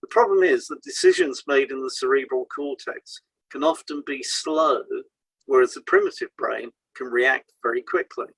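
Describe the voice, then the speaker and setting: A man with a husky voice at a medium pitch reads his part of a scripted podcast discussion. He explains things deliberately, point by point. The recording is very dry, without room sound. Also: expressive, fairly bright tone, no echo, poor audio. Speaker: podcast co-host, hypnotherapist.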